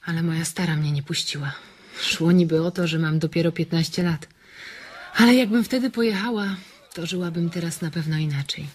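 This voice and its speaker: Husky, lonely young farm wife. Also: female voice, on the low side, darkish tone. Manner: colloquial, coaxing, self-pitying. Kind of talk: radio drama acting.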